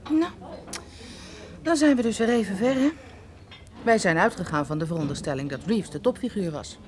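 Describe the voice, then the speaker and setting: An actress playing a detective's sceptical, weary female companion in a radio drama, wry and gently chiding. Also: medium pitch, animated, moderate pace, lightly acted.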